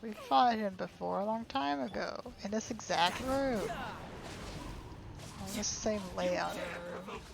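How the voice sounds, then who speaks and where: Slow-talking woman streaming a game. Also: medium pitch, vocal fry. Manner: informal, talks back to game characters. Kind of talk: casual live gameplay chat.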